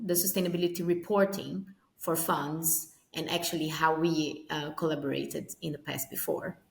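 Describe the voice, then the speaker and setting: A woman's voice: lowish voice, reverberant. A woman hosting an interview, introducing her guest and asking questions in an upbeat way. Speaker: host.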